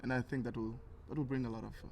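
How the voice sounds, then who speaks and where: A man's voice: medium pitch, flat delivery, racing, gravelly, warm tone. Reflective young man, noisy background. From Tanzania. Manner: reflective, earnest. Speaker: interview guest. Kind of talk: casual personal interview.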